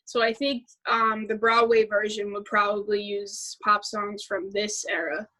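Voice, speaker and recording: Young woman host, slow and rambling, bright tone, casual filler-heavy chat. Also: mid-range voice, flat delivery, low-quality recording. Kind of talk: casual chat about musicals.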